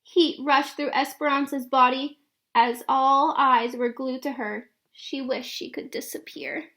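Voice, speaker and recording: A woman reading a children's picture book aloud in an expressive storytelling style. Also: high voice, measured pace. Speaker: read-aloud narrator.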